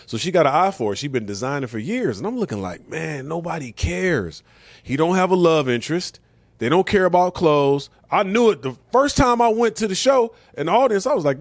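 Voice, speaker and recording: A man's voice: on the high side, dry recording. Middle-aged American man, dry close sound. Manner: blunt, conversational, slangy, with rhetorical questions. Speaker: comedian and talk show host. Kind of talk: casual opinionated monologue.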